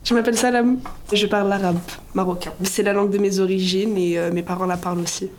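A woman's voice: mid-range voice, fairly even intonation, quick pace. A woman giving casual, informal interview answers about herself. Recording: faint ambient noise.

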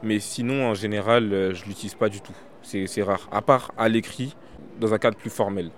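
A man's voice: fairly low pitch, fairly even intonation, moderate pace. Hesitant man answering questions casually. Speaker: interviewee.

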